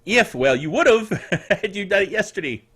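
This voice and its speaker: Man, bright high voice, slightly rough throat, chatty tech host, jokey asides. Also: sing-song, moderate pace. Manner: chatty, jokey, digressive, self-correcting. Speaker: host of a tech news show; technical director for radio stations. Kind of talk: casual scripted tech news commentary with asides.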